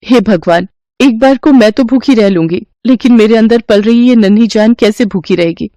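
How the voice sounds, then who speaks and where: A woman's voice: a little high, normal speed, warm tone, no echo, close to an everyday voice. A woman voicing an earnest, poor young widow.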